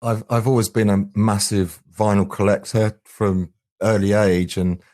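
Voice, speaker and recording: A man's voice: lowish voice, flat delivery, unhurried, darkish tone. A man answering interview questions conversationally as a band's singer and guitarist. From England. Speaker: band frontman, singer and guitarist.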